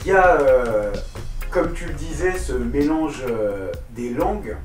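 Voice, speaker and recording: A man's voice: medium pitch, lively intonation, takes it slowly, distant mic. A man interviewing a singer, asking questions and praising in flowery words. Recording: roomy, off-mic, music behind.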